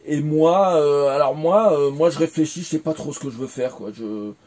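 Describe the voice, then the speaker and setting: Hesitant mellow-voiced French man. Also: on the high side, normal speed. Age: 40s. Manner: hesitant, many fillers and restarts. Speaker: interviewee, former game developer. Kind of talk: casual interview.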